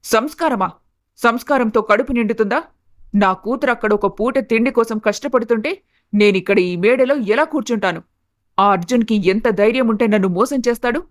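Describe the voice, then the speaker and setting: A woman playing a bitter, status-obsessed middle-aged mother-in-law in an animated family story, indignant, sarcastic and scolding. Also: fairly high pitch, normal speed, natural voice. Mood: bitter, outraged.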